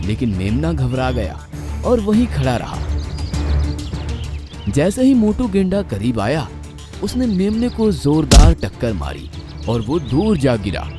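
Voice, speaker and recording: A man narrating a scripted children's cartoon story in a dramatic storytelling style. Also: mid-range voice.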